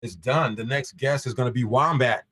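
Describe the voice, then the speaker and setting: A man's voice: mid-range voice, normal speed, a bit bright, slightly rough. A man whose first language is Shona, a guest talking casually on a podcast, laid-back and full of slang.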